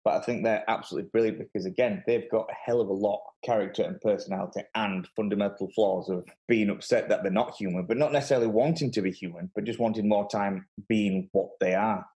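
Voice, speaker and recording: A man's voice: on the low side, steady tone, quick pace, hardly any pauses, dark tone. A man hosting a podcast in casual chat, talking in an emphatic, opinionated way. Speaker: podcast host.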